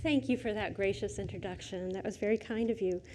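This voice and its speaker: A soft-spoken middle-aged woman giving a public lecture in a lecture hall, chatty and personal. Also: medium pitch, a little fast, a little hiss or hum. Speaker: guest lecturer. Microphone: lecture microphone.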